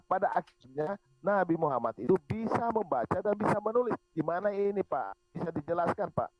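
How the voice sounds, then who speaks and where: A man with a fairly high voice moderates a religious debate over an online call. He keeps pressing with questions. The sound is lo-fi and dry, with little room around him. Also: expressive, fairly quick, dry recording. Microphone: online group call. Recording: muffled lo-fi online call audio.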